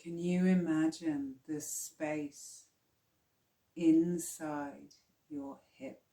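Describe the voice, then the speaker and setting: Slow, low, mellow woman guiding relaxation. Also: reverberant. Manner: calm, soothing, hushed delivery with long pauses. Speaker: yoga nidra teacher. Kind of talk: slow guided relaxation meditation.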